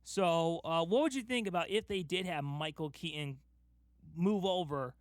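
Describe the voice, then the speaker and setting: Male podcast host, fairly high voice, eager and emphatic fan chat, close dry sound. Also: normal speed, dry recording. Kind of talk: casual fan discussion.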